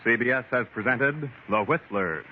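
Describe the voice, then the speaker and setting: A man's voice: medium pitch, moderate pace. A man giving formal network announcements in a 1940s radio style. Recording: dull old radio recording, loud music.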